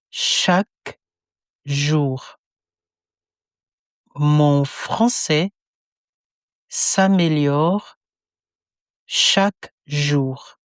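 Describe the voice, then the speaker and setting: Lesson narrator, woman, low and very slow, calm word-by-word delivery. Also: no room sound, clean studio sound. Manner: calm, deliberate, word-by-word enunciation. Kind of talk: slow phrase-by-phrase drill reading.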